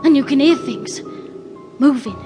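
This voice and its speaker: An actress playing a timid young housemaid in a period radio drama, meek, hesitant and deferential. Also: female voice, high-pitched, expressive, a little slow, dark tone, soft background music. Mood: frightened.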